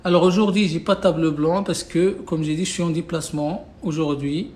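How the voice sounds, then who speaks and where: A man's voice: a little high, steady tone, a little fast, a little mellow. A man whose first language is Arabic, teaching a live online class in a casual, insistent way, repeating himself with many fillers and restarts. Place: away from home, no whiteboard.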